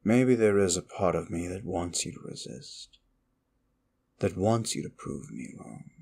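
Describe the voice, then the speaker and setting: An ancient, wicked demon, acted by a man, speaks straight to the listener in an intimate ASMR roleplay. The voice is very low and full of gravelly fry. Each phrase comes slowly and deliberately, brooding and theatrical, with contempt and a trace of inner conflict. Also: darkish tone, full character voice. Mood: brooding, softening. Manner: theatrical, brooding, slow and deliberate with dramatic pauses. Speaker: audio roleplay performer.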